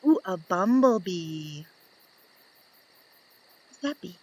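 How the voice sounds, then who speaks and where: Woman, children's story reader, unhurried, very animated pitch, playful, asks questions. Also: breathy edge, muffled recording. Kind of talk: reading a picture book aloud to children.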